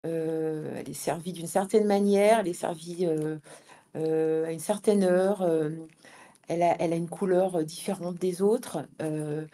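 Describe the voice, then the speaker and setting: A woman being interviewed as a guest, speaking conversationally and haltingly, often repeating words as she builds her answers. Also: lowish voice, steady tone, mellow. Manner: hesitant, halting delivery with many repeated words. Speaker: interview guest, founder and manager of an event venue.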